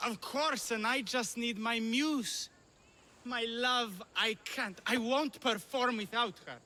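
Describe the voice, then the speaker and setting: An actor playing a drunk, lovesick, theatrical stage performer in a video game cutscene, with a flamboyant, tipsy manner. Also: male voice, extremely high pitch, slow pace, bright, forceful tone, a little hiss or hum, heavily acted. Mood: lovesick, stubborn.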